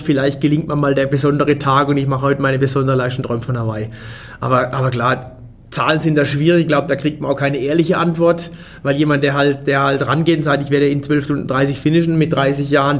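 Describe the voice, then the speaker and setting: A German man in his forties, a race organizer, answering interview questions in a casual way and often restarting his sentences. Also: medium pitch. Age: 40s. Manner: fast, restarts and repeats words.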